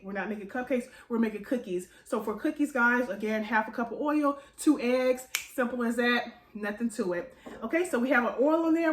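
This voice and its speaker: An American woman talks through a home baking tutorial in an informal, chatty way. Her voice sits a bit high and sounds congested. The room is noticeably echoey, with faint noise in the background. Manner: chatty, informal, addresses viewers as "you guys". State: stuffy, congested nose. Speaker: home baker and cooking channel host. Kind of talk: casual cooking tutorial chat.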